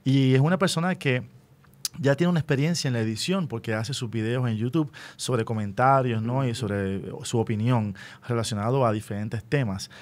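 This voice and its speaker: A man's voice: mid-range voice, normal speed, a little mellow. A Puerto Rican man hosting a conversational radio interview, explaining things and often checking in with small tag questions.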